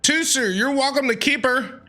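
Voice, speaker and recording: A woman's voice: high voice, normal speed, over music. A woman doing casual, playful, teasing gameplay reaction commentary. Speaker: streamer.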